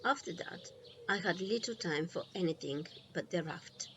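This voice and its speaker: A woman reading a children's storybook aloud in an expressive, deliberate way, leaving pauses between phrases. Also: on the low side, lo-fi sound, noisy background. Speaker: storybook reader.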